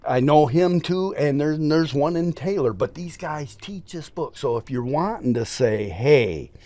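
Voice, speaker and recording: A man's voice: fairly high pitch, animated, unhurried, dark tone. A man, a preacher talking earnestly and emphatically as a podcast guest. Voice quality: scratchy voice. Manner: earnest, emphatic, folksy storytelling. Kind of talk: casual interview talk.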